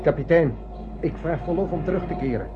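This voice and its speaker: Een acteur die in een hoorspel een bezorgde officier van een ruimteschip speelt, formeel en dringend. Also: male voice, on the high side, brisk, over music, lightly acted. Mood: alarmed. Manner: urgent, formal. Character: anxious male spaceship officer reporting to his captain. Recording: dull radio-play recording with loud noise.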